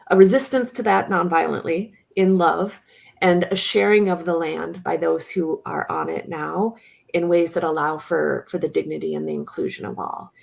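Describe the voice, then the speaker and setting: Woman panelist, strong vocal fry, earnest and halting delivery. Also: lowish voice. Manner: earnest, halting, searching for words. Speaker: panel guest. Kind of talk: reflective panel discussion.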